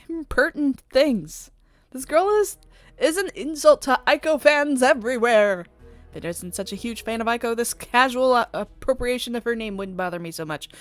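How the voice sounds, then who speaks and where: A woman's voice: mid-range voice, close to an everyday voice. A woman voicing a prickly female idol superfan in a visual novel, blunt, indignant and sceptical. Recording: music playing behind the voice.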